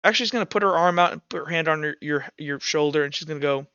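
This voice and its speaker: A man narrating a tabletop roleplaying game as game master, improvising in halting phrases with pauses mid-sentence. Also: a little high, bright, forceful tone, clear, resonant tone, no echo, muffled recording.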